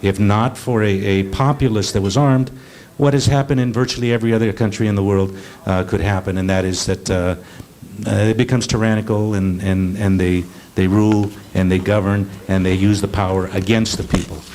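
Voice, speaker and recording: A middle-aged American man speaking as a panel advocate, emphatic and deliberate. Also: on the low side, steady tone, a little mellow. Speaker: panel guest, advocacy executive director. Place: storefront meeting room, live event.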